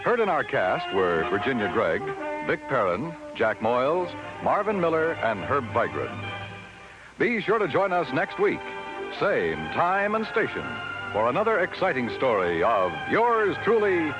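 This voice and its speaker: Animated American radio announcer over loud music. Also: male voice, mid-range voice, unhurried. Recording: dull vintage radio recording with loud music.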